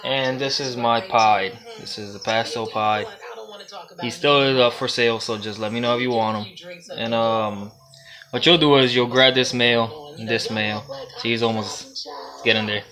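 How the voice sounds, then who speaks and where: A young Puerto Rican-accented man talks loose and rambling into a phone he holds close, at a middle pitch. A television crowd murmurs clearly behind him in a home reptile room. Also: steady tone, normal speed. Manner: loose and rambling, with laughs and swearing. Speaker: reptile breeder and vlogger. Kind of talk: casual how-to vlog. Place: indoor reptile room lined with storage tubs. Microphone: phone held close at arm's length.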